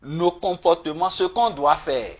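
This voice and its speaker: Emphatic man on a talk show. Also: fairly high pitch. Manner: earnest, emphatic, pauses and restarts. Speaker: traditional spiritual guest.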